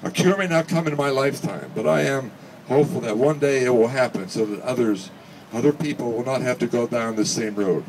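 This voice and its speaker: Guy reading a speech out loud, measured and earnest, bit far from the mic, some background noise. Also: medium pitch. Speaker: speaker reading another person's speech. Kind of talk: read-aloud speech at a charity event. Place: charity event finish line, before a gathering.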